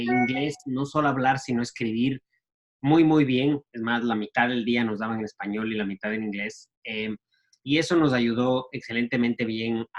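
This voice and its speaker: A man, telling stories of his youth in a casual, halting way full of restarts and fillers. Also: medium pitch, lo-fi sound. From Ecuador. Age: 40s. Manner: halting, many restarts and fillers, laughs at himself. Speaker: guest entrepreneur. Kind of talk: casual storytelling.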